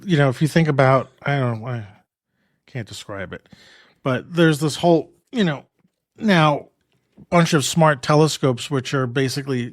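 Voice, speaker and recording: Man, from New York, podcast co-host, casual rambling chat, mid pitch, dry sound. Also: no room sound. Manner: relaxed, rambling with frequent restarts and laughs.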